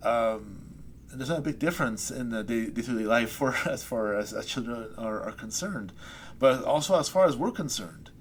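A man, a poet being interviewed, talking things through slowly with many restarts and trailing pauses. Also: mid-range voice, lively intonation, a little hiss or hum. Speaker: poet guest. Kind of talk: reflective interview chat. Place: Saskatoon resident.

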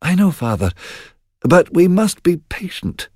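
A man's voice: sits high, sing-song, a little slow, darkish tone, no room sound, clean studio sound, pitched above the performer's own voice, full character voice. A man doing an eager young prince. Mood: patient.

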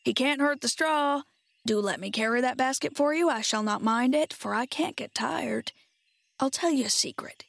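A woman reader puts on a storybook scarecrow, a simple, earnest straw man. The voice is husky and creaky, the words drawn out slowly and politely. It is recorded very dry and close. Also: high-pitched, fairly bright tone, no echo, heavily acted. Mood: friendly, confiding. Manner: slow, drawn-out, polite delivery.

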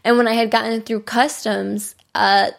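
A woman's voice: a little high, little pitch movement, moderate pace, vocal fry. A young American woman hosting a podcast, telling a personal story in a bubbly, chatty way with frequent laughs. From United States. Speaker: podcast host.

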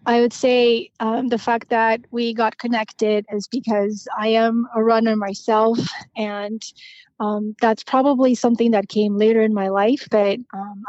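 A woman in her forties, raised in Romania, speaking as a podcast guest in a reflective way, pausing often with ums and restarting her sentences. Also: on the high side, a little mellow, no echo, lo-fi sound. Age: 40s. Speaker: podcast guest, financial planner. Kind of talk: conversational interview.

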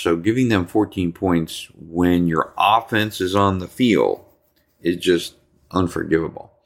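A man with a New Jersey accent hosting a sports talk podcast, his voice slightly hoarse, rambling with repeated words and ums. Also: low voice, a bit bright. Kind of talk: casual sports talk monologue.

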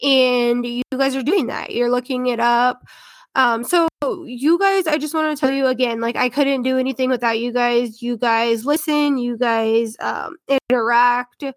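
A young woman hosts her true-crime podcast in a loose, rambling way, full of fillers and asides. A head cold leaves her voice stuffy and congested. Her voice sits high, and the sound is dry and close. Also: fairly even intonation, measured pace, no room sound. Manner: chatty, rambling, filler-heavy. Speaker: podcast host.

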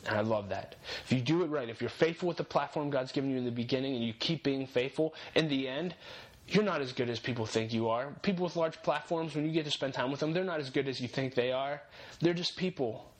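A man's voice: mid-range voice, fast talker, hardly any pauses. Earnest young man giving faith-based encouragement. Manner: earnest, encouraging, repeats key phrases for emphasis. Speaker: podcast host.

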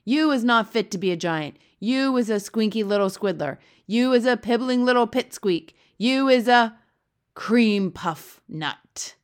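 Storybook villain: greedy, brutish giant man, acted by a woman, loud, sneering, garbled grammar. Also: on the high side, animated, a little slow, clean tone, pitched above the performer's own voice. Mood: insulting fury. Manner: bellowing, sneering, mangled grammar and made-up words. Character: brutish, gluttonous, bullying man-eating male giant. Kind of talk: storybook character reading.

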